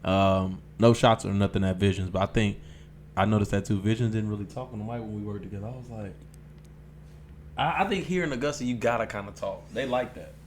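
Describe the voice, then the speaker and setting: Low-voiced man hosting a podcast. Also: fairly quick, a little mellow, slight background noise. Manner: easygoing, lots of "okay" and "um" backchannels. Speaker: podcast host. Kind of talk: casual podcast interview.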